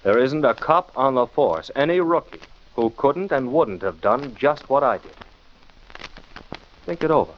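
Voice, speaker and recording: An actor playing a confident, cool amateur detective in 1940s radio style, assured and commanding. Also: male voice, mid-range voice, lively intonation, measured pace, pauses often, lightly acted. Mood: assured, stern. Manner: taunting, assured, crisply commanding. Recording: muffled, noisy 1940s radio recording.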